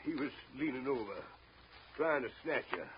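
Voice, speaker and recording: An actor playing a plain-spoken old riverboat pilot with a rustic drawl in a 1930s radio drama. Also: male voice, medium pitch, expressive, moderate pace, close to an everyday voice. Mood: subdued, reluctant. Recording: dull, lightly noisy 1930s radio recording.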